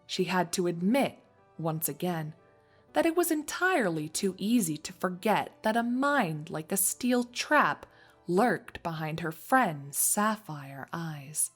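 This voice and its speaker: A woman narrates a scripted story in a slightly low voice. She reads at a very unhurried pace, as a storyteller between lines of dialogue. Music plays faintly behind her. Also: animated, husky.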